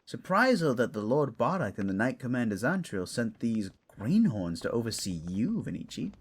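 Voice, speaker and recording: A man playing a gruff, bookish older lord in a fantasy audio drama, wry, loyal and theatrical. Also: mid-range voice, big pitch swings, normal speed. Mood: wry, musing.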